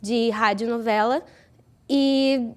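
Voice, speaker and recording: A woman's voice: fairly high pitch, steady tone, takes it slowly. A woman, an actress explaining casually in an interview.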